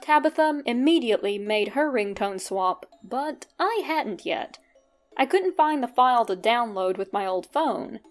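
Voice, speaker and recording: High-pitched woman narrating a story. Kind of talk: scripted first-person story narration.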